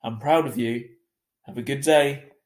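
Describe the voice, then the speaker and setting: A man's voice: medium pitch, a little slow, roomy sound, close to an everyday voice. A man playing an impatient but affectionate father in a storybook reading, emphatic and insistent. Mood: affectionate, teasing.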